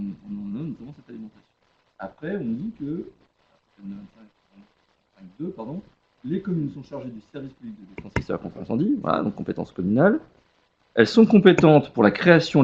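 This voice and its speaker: Halting man presenting, gravelly, muffled lo-fi sound. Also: medium pitch. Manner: hesitant, halting delivery with rephrasing. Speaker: webinar presenter, legal expert. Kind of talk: explanatory webinar presentation. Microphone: web conference connection. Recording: dull lo-fi web conference audio.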